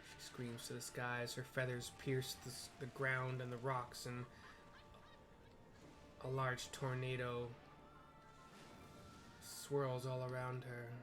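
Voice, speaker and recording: Unhurried man narrating over game music. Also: mid-range voice, monotone. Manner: descriptive, informal, reads dialogue aloud. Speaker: video game player narrating for viewers. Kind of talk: live game narration with audio description.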